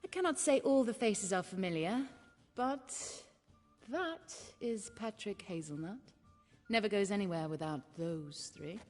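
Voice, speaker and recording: An actress playing a poised, worldly mystery woman in a video game, speaking in a measured, wry and cultured way. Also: medium pitch, lively intonation, in a large hall. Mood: measured, knowing. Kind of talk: video game dialogue acting. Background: background music.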